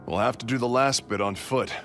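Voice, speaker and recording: A man's voice: mid-range voice, a little fast, lightly acted. A man playing a wry, easygoing adventurer uncle and pilot in a video game cutscene. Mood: casual, assured. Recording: music behind the voice.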